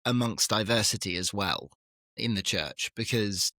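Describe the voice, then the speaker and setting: A man's voice: on the low side, unhurried, bright, forceful tone, no room sound, clean studio sound. Man explaining with emphatic energy. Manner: explanatory, with emphatic rises and light laughs. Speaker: guest scholar. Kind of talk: casual conversation.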